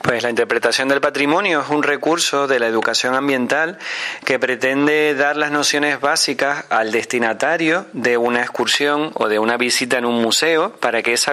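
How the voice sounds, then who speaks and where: Bright-voiced man explaining. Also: medium pitch. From Tenerife, Canary Islands. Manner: explanatory, with frequent filler pauses and restarts. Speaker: environmental educator. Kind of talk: radio interview answers.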